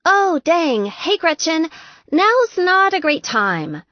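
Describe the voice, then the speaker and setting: Geeky young woman, acted by a female narrator, kind, exasperated, brisk manner, very varied intonation, audiobook dialogue. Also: extremely high pitch, big pitch swings, dry recording, lo-fi sound, voice pushed up, full character voice. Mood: flustered.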